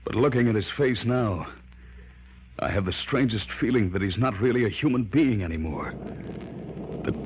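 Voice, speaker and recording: An actor playing a scientist's earnest, fearful assistant and narrator in an old-time radio horror drama, solemn and dramatic. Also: male voice, mid-range voice, moderate pace, long pauses, highs cut off, a little hiss or hum, lightly acted. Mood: wary, insistent.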